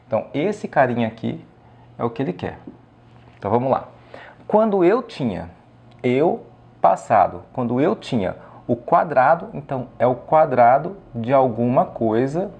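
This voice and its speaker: A man's voice: mid-range voice, a little mellow. Man teaching math step by step. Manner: frequent "tá?" and "ok?" check-ins, step by step. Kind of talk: explaining a math problem.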